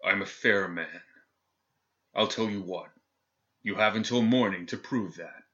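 A man voicing a cold, sadistic male governor general who speaks coolly and with calm menace. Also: lowish voice, a little slow, dull audio. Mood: cold menacing. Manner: cool, clipped, menacing.

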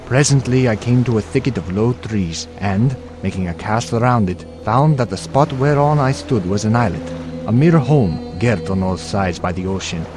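An actor playing a prince who recounts his adventures in formal, archaic, expressive storytelling for an audio drama. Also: fairly low pitch, normal speed, natural voice. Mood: earnest storytelling. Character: young prince recounting his perilous sea voyage. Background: music.

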